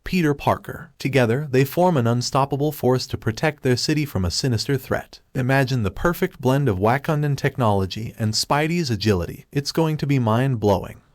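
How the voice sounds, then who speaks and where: A man reading a scripted promotional narration in the manner of an excited pitch. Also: brisk, hardly any pauses, dry recording, studio quality. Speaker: video narrator.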